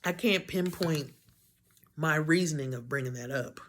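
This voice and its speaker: A young woman with a deep voice, talking candidly to camera in a rambling way, often losing her train of thought and correcting herself. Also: moderate pace, slight background noise. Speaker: vlogger. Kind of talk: candid personal talk to camera.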